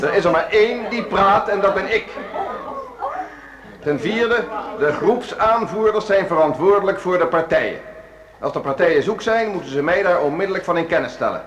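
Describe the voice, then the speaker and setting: Casting: self-assured, commanding music-school conductor for radio drama, high-pitched voice in a reverberant hall. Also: male voice, expressive, crowd noise. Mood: strict, instructing. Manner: brisk, commanding, addressing a group. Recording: echoey hall, music behind.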